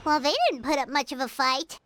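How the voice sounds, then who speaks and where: A woman's voice: pushed and bright, heavily acted. A woman voicing a tiny, childlike fairy companion in a video game, perky, blunt and cutesy. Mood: cheeky. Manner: squeaky, cutesy.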